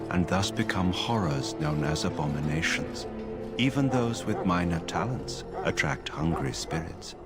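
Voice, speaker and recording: A man playing an emotionless mage in a fantasy game, speaking in a formal, detached, measured manner. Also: low voice. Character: emotionless branded male mage, calm enchanter stripped of feeling. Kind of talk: video game dialogue. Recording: game music loud behind the voice.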